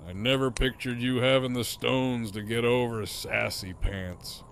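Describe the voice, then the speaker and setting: An actor playing a mocking, foul-mouthed middle-aged antagonist with a sardonic, needling drawl in an audio drama. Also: male voice, mid-range voice, fairly even intonation, a little slow, vocal fry, fairly bright tone, a little hiss or hum. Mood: taunting, amused.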